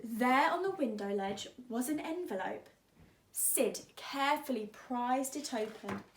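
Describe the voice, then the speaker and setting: A woman teacher reading a children's story aloud, expressively and deliberately, drawing out words. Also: fairly high pitch, expressive, echoey room, slight background noise.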